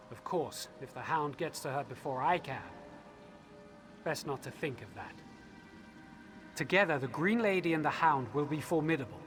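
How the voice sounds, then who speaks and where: An earnest elf leader speaks in a fairly high male voice, solemn and measured as he guides a hero with real urgency. It is video game quest dialogue with music clearly playing underneath. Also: a bit bright.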